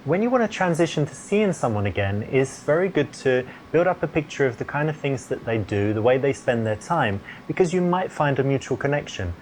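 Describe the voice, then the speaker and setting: Actor as a calm instructive dating coach, measured advice, faint noise behind. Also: male voice, medium pitch, lightly acted, rough recording. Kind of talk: scripted advice.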